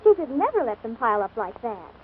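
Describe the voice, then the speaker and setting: A woman's voice: fairly high pitch, big pitch swings, a little fast. A woman giving chirpy, instructive advice in a scripted 1940s radio commercial. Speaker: advertisement co-presenter. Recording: scratchy, narrow-band 1940s radio broadcast.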